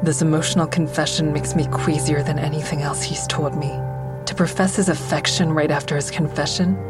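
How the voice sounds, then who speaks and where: An actress plays a bereaved, bitter woman telling her story in an audio drama. Her voice sits low, guarded and intense, with a coldness to it. Music plays clearly underneath. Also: lightly acted. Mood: disgusted, cold. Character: embittered woman narrating her grief and rage, a bereaved mother. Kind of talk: first-person audio drama narration.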